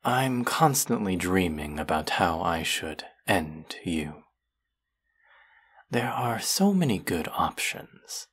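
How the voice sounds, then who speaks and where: A man playing a bitter, menacing fantasy villain in an audio roleplay, with a gravelly, husky voice and a sardonic edge. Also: deep voice, big pitch swings, fairly bright tone, heavily acted. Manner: menacing, sardonic, slow and deliberate. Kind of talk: dramatic audio roleplay acting addressed to the listener.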